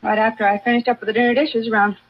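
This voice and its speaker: A woman's voice: a little high, little pitch movement, rapid-fire, close to an everyday voice. A woman playing a chatty, easygoing American food-stand keeper in a 1950s radio drama, casual and folksy. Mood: casual, helpful. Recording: muffled vintage radio, faint noise.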